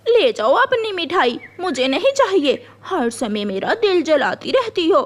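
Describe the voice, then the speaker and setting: A woman voicing a jealous, bitter, scheming crow wife in a cartoon, sharp-tongued and complaining. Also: extremely high pitch, very animated, a little slow, full character voice. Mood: spiteful.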